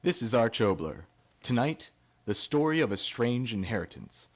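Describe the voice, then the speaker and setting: An actor playing an ominous horror anthology host in old-time radio style, portentous and deliberate. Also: male voice, mid-range voice, very animated. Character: ominous male horror-anthology host introducing tonight's tale.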